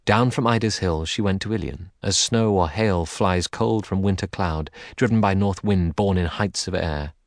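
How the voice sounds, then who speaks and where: Deep-voiced man narrating an epic, close and dry. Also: normal speed, few pauses, no room sound. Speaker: audiobook narrator. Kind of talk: dramatic literary narration of epic verse.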